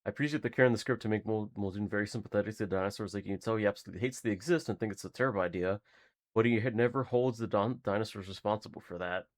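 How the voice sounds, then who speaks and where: Low-voiced man reacting casually. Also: racing. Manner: informal, musing, mild swearing. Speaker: reaction video host.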